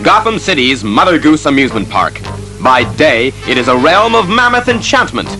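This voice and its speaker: Superhero story narrator, ominous and dramatic, slightly high lively voice, music clearly behind. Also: male voice, moderate pace, low-quality recording.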